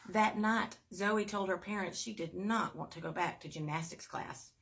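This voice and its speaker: A woman reading a children's bedtime story aloud, with expressive emphasis and little asides to young listeners. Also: mid-range voice, normal speed, gravelly, slight background noise. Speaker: storybook reader at a performing arts school.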